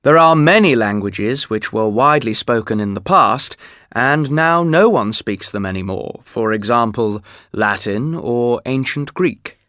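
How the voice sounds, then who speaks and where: A man reading scripted educational narration for a textbook, steady and explanatory. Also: mid-range voice, measured pace, no echo, clean studio sound. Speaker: textbook audio reader.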